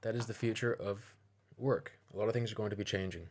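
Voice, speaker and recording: Deep voiced guy doing opinion commentary for a video, slow deliberate persuasive delivery. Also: steady tone. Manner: measured, deliberate, persuasive phrasing.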